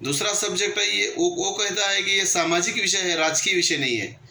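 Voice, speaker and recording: A man with a high-pitched, very piercing, forceful voice delivers political opinion to his channel's viewers. He argues emphatically and repeats his points. The room is clearly echoey. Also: brisk. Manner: emphatic, repetitive, argumentative. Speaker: channel host and political commentator. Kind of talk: opinion commentary addressed to viewers. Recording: echoey room with background noise.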